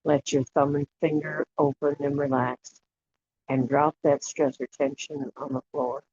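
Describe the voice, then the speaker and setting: A guided imagery facilitator leading a relaxation meditation in a soothing, unhurried way, leaving long pauses between short phrases. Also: medium pitch, level tone. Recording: dull lo-fi audio with clear background noise and clicks.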